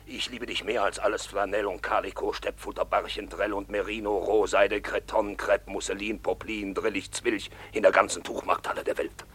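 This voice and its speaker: A man playing a lovesick draper, declaiming his passion grandly in a husky, breathy voice in a radio play. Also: lowish voice, little pitch movement, normal speed, hardly any pauses, fairly bright tone. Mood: ardent, lovesick. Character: lovesick draper, pompously passionate dream lover. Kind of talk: literary radio play acting.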